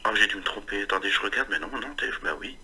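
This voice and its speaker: A man's voice: lowish voice, racing, sharp tone, slightly rough. A young man making a prank phone call, rambling and stammering through confused nonsense in a sham apologetic way. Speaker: prank caller.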